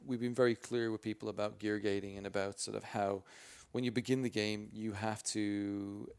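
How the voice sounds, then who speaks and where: A man with a booming voice answering interview questions as a spokesperson, energetic and rambling with many restarts. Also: normal speed, gravelly, clear, resonant tone. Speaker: game franchise spokesperson.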